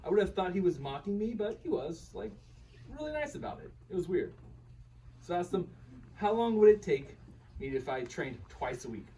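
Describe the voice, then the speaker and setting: Man acting animated, higher-voiced karate student, distantly miked. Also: normal speed. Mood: amused disbelief. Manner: animated, chuckling, quotes his teacher's dialogue. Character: bemused earnest karate student recounting his trek to his partner. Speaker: reader in a staged radio play. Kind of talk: live radio play reading, conversational storytelling. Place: live reading at a bookshop event. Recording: distant microphone with faint room noise.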